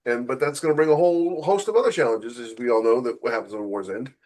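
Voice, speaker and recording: A man's voice: medium pitch, quick pace, a little mellow. A man in casual conversation as a podcast co-host and author, with a wry, joking way of talking. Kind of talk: casual chat about writing.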